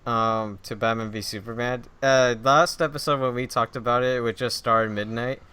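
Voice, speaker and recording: A man hosts a relaxed podcast, guiding the conversation. His voice is smooth and clear, at an ordinary pitch. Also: normal speed. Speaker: podcast host. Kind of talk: casual chat.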